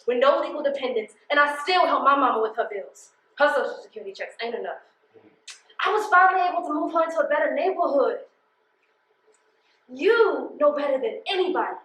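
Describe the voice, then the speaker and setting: A high female American voice with a roomy echo around it. An actress plays a hard-working, suspicious postal carrier in a stage drama, emphatic and guarded, talking in streetwise everyday speech. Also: a bit bright. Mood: earnest, weary. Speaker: stage actor. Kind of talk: stage play dialogue, colloquial.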